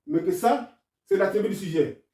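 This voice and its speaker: A man's voice: high-pitched, animated, moderate pace, dark tone, roomy sound, far from the mic. A man with a non-native French accent explains grammar step by step in a video lesson. He talks in an insistent, argumentative way. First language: not French. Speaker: self-taught grammar commentator.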